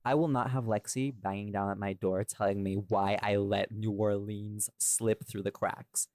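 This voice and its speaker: Low-voiced man, casual podcast chat. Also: fairly even intonation, moderate pace, a little mellow, dry recording, clean studio sound. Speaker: audio series creator and podcast co-host. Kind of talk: casual chatty behind-the-scenes discussion.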